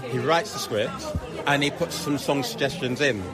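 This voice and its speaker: A man's voice: mid-range voice, moderate pace. A man talking casually as a musical director being interviewed. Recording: crowd chatter behind.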